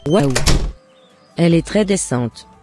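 Mellow, husky older mother played by a woman. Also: on the low side, lively intonation, slow, faint music behind. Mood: approving.

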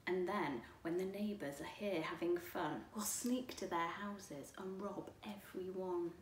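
A woman's voice: lowish voice, fairly even intonation, measured pace, close to an everyday voice. A woman voicing a scheming, grumbly male robber dog from a storybook, muttering and boasting. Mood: sly, scheming. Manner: muttering and groaning, then boastful. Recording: distant mic, echoey room, faint noise.

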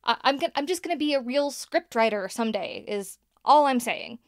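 A woman's voice: high-pitched, animated, a little fast, no room sound, studio quality. An actress playing a nervous young woman who hosts a podcast, rambling and laughing as she talks. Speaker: podcast host. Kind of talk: audio drama acting.

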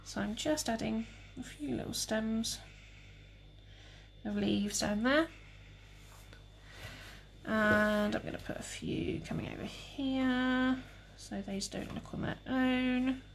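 A young woman with a calm, relaxed voice, demonstrating cake painting live and chatting with viewers. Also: on the high side, takes it slowly, vocal fry, pauses often, slight background noise. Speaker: cake decorating tutor.

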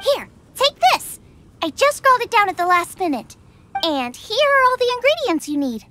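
A woman's voice: very high voice, big pitch swings, normal speed, pushed and bright, heavily acted. A woman voicing a bubbly, confident teenage girl chef in an anime video game, chirpy and enthusiastic. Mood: cheerful, eager.

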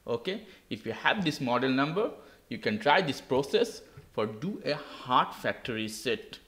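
A man's voice: medium pitch, very animated, normal speed, a bit bright, reverberant. A man hosting a tech tutorial, walking through a laptop reset step by step in simple, non-native English. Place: indoor desk.

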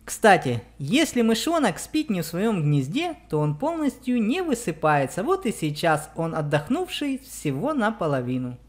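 A woman co-hosting a children's gaming channel, giving expressive, storytelling let's play commentary. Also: fairly low pitch, normal speed, hardly any pauses. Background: background music.

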